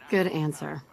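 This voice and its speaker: A woman playing an affectionate, teasing young wife and mother in a video game, casual and gently coaxing. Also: sits low, vocal fry, full character voice. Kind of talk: naturalistic video game voice acting. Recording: game audio, faint background noise.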